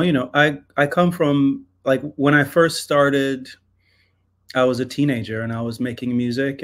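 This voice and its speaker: A man in his thirties, from Toronto, answering interview questions in a thoughtful, hesitant way with lots of pauses and ums over a video call. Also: mid-range voice. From Toronto, Canada. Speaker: guest artist. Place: home room with guitars on a video call.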